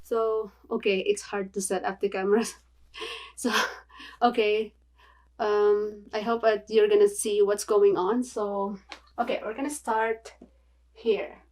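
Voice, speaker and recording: A woman from the Philippines with a Filipino accent, chatting casually in a home video tutorial, halting and apologetic with many fillers. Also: mid-range voice, flat delivery, a little mellow. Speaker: vlogger. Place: small home room.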